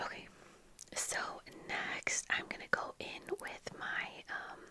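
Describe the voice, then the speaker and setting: A young woman whispering a casual, rambling ASMR get-ready chat, breathy and unhurried, close to the microphone. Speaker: ASMR video creator. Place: small indoor room with bookshelves. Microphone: close fluffy-covered ASMR microphone, earphones worn.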